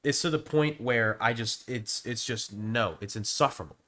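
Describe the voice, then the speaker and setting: A man with a sore throat, sounding hoarse as he gives rambling, casual opinions on a video game, often restarting his sentences. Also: mid-range voice, moderate pace, fairly bright tone. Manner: rambling with frequent restarts and stammers. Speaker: gaming youtuber. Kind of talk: casual opinion commentary to camera.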